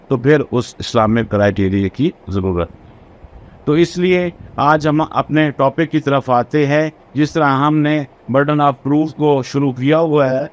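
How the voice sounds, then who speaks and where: A man giving a recorded law lecture, explaining step by step and reading legal clauses slowly in English. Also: medium pitch.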